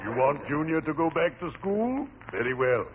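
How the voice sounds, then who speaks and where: An actor playing a morbidly cheerful, solemn undertaker in a 1940s radio comedy, with a ponderous, genteel delivery. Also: male voice, fairly high pitch, very animated, normal speed. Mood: solemn, soothing. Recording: noisy, muffled old radio recording.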